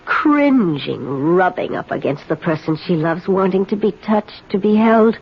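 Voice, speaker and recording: A woman's voice: medium pitch, very animated, a little slow. An actress playing a bitter, jealous, imperious woman in a 1970s radio drama, with a theatrical, commanding, brooding delivery. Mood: brooding, disgusted. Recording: dull, noisy old radio broadcast.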